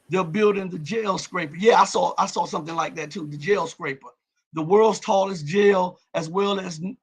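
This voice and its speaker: A man hosts a live stream, reading out viewer comments in a raspy, husky voice that cracks and breaks up at times. He sits fairly high for a man and leans on a gravelly fry. He talks emphatically, restarting and repeating words, then drops low to mutter his asides. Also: fairly bright tone. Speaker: host of a live-stream show.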